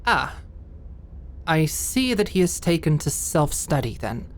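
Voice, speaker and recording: Woman acting a lady knight, deep for a woman, formal n measured, guarded, fantasy audio drama. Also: sing-song, slight background noise. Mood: guarded, deferential.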